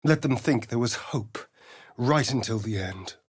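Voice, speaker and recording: A man's voice: medium pitch, lively intonation, a little slow, slightly rough, no room sound, studio quality. An actor playing a sly, haughty male vampire rogue in a video game, with an arch, drawling, sardonic delivery. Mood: brooding. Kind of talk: video game character voice acting.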